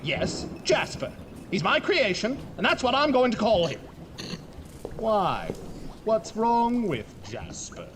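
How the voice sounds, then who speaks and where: Male actor as eccentric young doctor, exasperated, slow deliberate delivery, wildly animated, horror-comedy audio drama, noisy recording. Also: high-pitched, full character voice. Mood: petulant. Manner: stammering, theatrical outbursts. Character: young mad doctor, high-strung, eccentric, imperious, easily exasperated.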